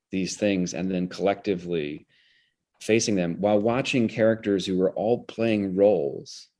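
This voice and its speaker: A man's voice: deep voice, warm tone. A man speaking as an interview guest, explaining ideas reflectively in an articulate, intellectual way and often restarting his phrases. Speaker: interview guest, theater director.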